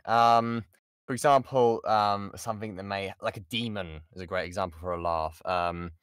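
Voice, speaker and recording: A man's voice: fairly low pitch, fairly even intonation, normal speed, a bit bright, clean tone, no echo, clean studio sound. A British man, a voice actor, chatting informally and self-deprecatingly as he answers questions in an online workshop. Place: insulated padded home booth. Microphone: XLR studio microphone.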